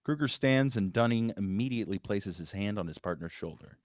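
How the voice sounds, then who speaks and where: Man, low voice, dry close sound, scripted screenplay narration. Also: lively intonation, fairly quick, dry recording. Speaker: stage directions reader.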